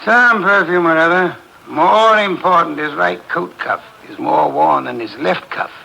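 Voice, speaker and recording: An actor playing a pompous, portly genius detective in a 1950s radio drama, speaking in a haughty, deliberate way. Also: male voice, on the high side, vocal fry, sharp tone, full character voice, poor audio. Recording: old radio broadcast with faint hiss.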